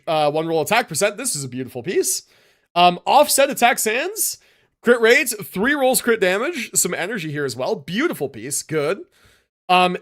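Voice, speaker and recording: A man hosting a livestream, casually reviewing game builds in relaxed, slangy talk as he thinks aloud. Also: high voice. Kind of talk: casual gaming livestream commentary.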